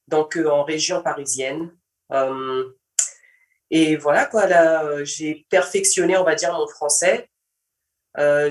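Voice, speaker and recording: A Cape Verdean woman in her thirties, a native Portuguese speaker and samba teacher, telling her story in a relaxed way and slipping in English words. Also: fairly low pitch. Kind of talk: casual personal account. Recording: voice from far off the mic.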